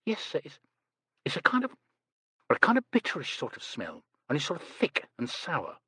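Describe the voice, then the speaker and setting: A man's voice: a little high, huge pitch swings, normal speed, sharp tone, breathy edge, no room sound, clean studio sound, heavily acted. A male narrator voicing a nervous, chatty young English housemaid who is prim and says sir constantly. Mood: earnest.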